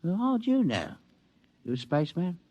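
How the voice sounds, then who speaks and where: A man's voice: high voice, sing-song, moderate pace, warm tone, no room sound. A male actor in 1950s radio style playing a hearty, sly spaceman with sailor talk, chummy and wheedling. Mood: chummy, probing. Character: hearty, sly, pushy old-salt spaceman in a bar. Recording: noisy old 1950s radio recording.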